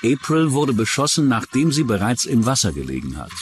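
A man's voice: medium pitch, very animated, fairly quick. A man reading scripted true-crime narration as a documentary narrator. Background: music.

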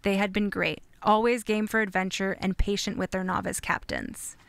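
A woman's voice: medium pitch, steady tone, normal speed, vocal fry, fairly bright tone, smooth, no room sound. A woman hosting a sailing vlog, telling the story in an upbeat, scripted voice-over. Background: background music.

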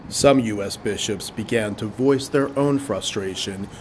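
A man narrating a news documentary in a steady, even reporting voice. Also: on the low side, unhurried, slight background noise, rough recording.

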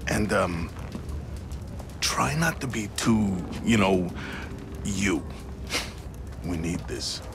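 A chatty, teasing street fixer from a dark video game. His low voice moves up and down a lot and takes its time. He is wry and informal. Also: lively intonation, very slow, creaky, long pauses, background noise, full character voice. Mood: awkward pleading. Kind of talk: video game cutscene acting.

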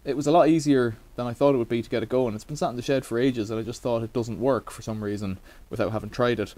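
A man's voice: mid-range voice, fast. Chatty man in a bike workshop. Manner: relaxed, rambling, wry asides. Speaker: bike repair vlogger. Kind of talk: casual how-to demonstration. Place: cluttered bike workshop indoors.

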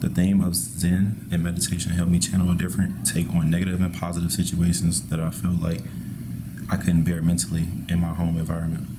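A young man from Missouri reads his artist statement in a calm, measured manner. His very deep voice barely moves in pitch, and clear background noise runs under it. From Missouri, USA. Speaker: art student. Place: indoor art gallery.

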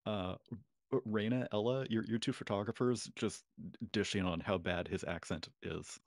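A man's voice: lowish voice, normal speed, no room sound, clean studio sound. A man acting as game master of a tabletop game, talking with lots of fillers and restarts. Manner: hesitant, many fillers and restarts. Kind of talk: running a tabletop game session.

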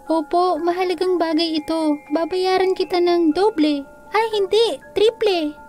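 A woman acts out an earnest young cartoon princess, determined and pleading. The voice is very high and girlish in pitch, with music behind it. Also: fairly even intonation, measured pace, a little mellow. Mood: urgent, pleading. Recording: music under the voice.

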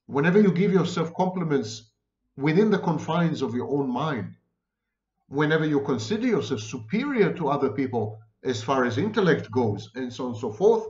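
A man's voice: a little high, darkish tone. Emphatic man in a roomy room. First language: Hebrew. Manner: deliberate, emphatic, gesturing, lists many near-synonyms. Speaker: lecturer on psychology. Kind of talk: lecture-style monologue to camera. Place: home room indoors. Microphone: webcam at desk. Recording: roomy, dull webcam audio.

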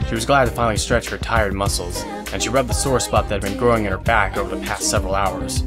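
A man narrating a scripted drama in a measured, suspenseful storytelling manner. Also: on the low side, fairly even intonation, music in the background.

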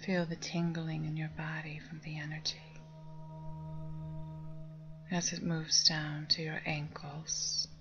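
A woman leading a guided meditation with soft, breathy, near-whispered, calming instructions. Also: low-pitched, moderate pace. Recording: dull lo-fi sound under music. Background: meditation music with singing bowls.

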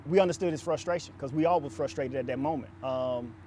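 A man's voice: mid-range voice, fast, a little mellow, slight background noise. A man, a former football player, speaking casually in an interview.

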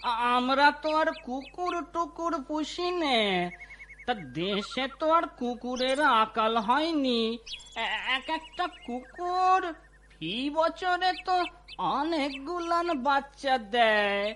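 A man playing an unhurried village gentleman in a cartoon, giving measured advice in rustic speech. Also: very high-pitched, expressive, a little hiss or hum, full character voice. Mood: dismissive. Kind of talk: cartoon voice acting, rustic dialogue.

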